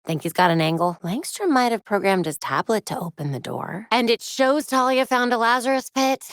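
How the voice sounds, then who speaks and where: A young woman with an American accent voicing a determined, quick-witted vigilante detective in a video game, focused and conversational. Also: on the high side, expressive, no room sound, clean studio sound, lightly acted. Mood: focused, businesslike.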